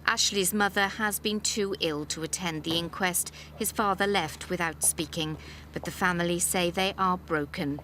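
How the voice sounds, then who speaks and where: Woman reporter, slightly low voice, scripted news voiceover, bit of background noise. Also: normal speed, bright, forceful tone, poor audio.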